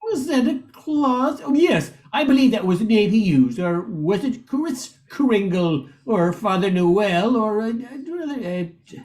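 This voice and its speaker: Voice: medium pitch, lively intonation, a little slow, a little mellow, natural voice. A bumbling lion police chief in a comic radio play , acted out by a performer. The delivery is nervous and hesitant, swinging widely up and down as the timid creature gets flustered. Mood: puzzled.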